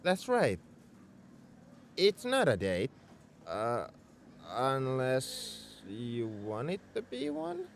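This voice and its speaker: A man's voice: medium pitch, wildly swooping pitch, drawn-out words, creaky, long pauses, slight background noise, heavily acted. A man playing a young tsundere spaceship mech engineer in an audio roleplay, defensive about his feelings as he speaks straight to the listener. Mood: flustered, shy. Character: gruff-caring tsundere male mech engineer, young adult. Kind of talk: ASMR roleplay acting, addressed to the listener.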